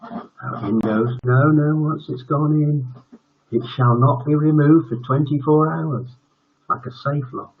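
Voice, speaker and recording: A man's voice: on the high side, unhurried, slightly rough. A British man in his seventies hosting a bedtime story stream, reading tales and chatting casually. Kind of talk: storytelling and casual livestream chat. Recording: dull lo-fi livestream audio.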